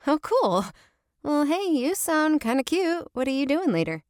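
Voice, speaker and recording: A woman's voice: high voice, sing-song, moderate pace, a little mellow, no room sound. Playful woman podcaster, close and dry. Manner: informal, playful. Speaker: podcast host. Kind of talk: casual podcast chat with playful skit banter.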